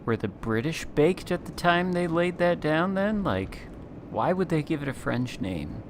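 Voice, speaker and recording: A man chatting casually as a guest while playing a naval battle game, talking in a smooth late-night radio voice. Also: fairly high pitch, animated, measured pace, background noise. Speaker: guest content creator. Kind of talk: casual gameplay chat.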